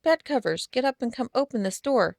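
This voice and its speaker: Woman voicing rackety little fairies, high sing-song chanting, pushy, dry close sound. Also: expressive, a little fast, vocal fry, darkish tone, no room sound. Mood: demanding.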